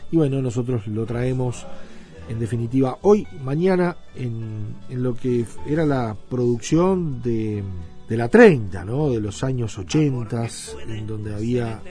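A man's voice: mid-range voice, measured pace, warm tone. A man with a Uruguayan accent hosting a radio show in a conversational, loosely phrased way, as in an introduction. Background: background music.

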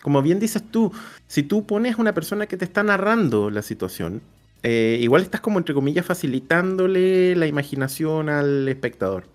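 A Chilean man hosts a casual interview in a somewhat high-pitched voice. He speaks colloquially, rephrasing himself and working through examples. Light music runs in the background. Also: animated, moderate pace. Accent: Chilean Spanish. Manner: colloquial, self-correcting, elaborates with examples. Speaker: interview show host.

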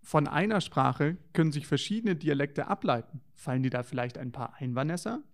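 A man's voice: fairly high pitch, lively intonation, brisk, gravelly. A man co-hosting a children's show, talking in a playful, wondering way to children in a scripted dialogue.

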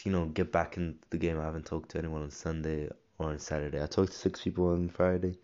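A young man rambling casually about his day, self-correcting often, his voice croaky from a cold. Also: very deep voice, flat delivery, fast, dark tone. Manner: rambling, self-correcting, frequent ums and restarts. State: croaky voice from a cold, coughing and clearing throat. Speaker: vlogger. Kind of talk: casual personal audio diary. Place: at home. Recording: dull lo-fi recording.

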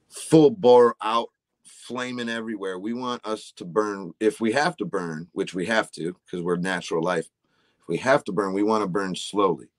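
A man's voice: sits low, dry recording. A man in his forties explaining health ideas in a casual chat, often checking in with "right?". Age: 40s. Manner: explanatory, frequent "right?" tags. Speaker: supplement company guest.

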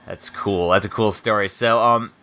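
American guy hosting a chill chat, kinda low voice, bright and punchy, rambles and laughs a lot. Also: steady tone, normal speed. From United States. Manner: laid-back, rambling, laughs often, many fillers. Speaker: podcast host and book publisher. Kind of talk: casual interview chat.

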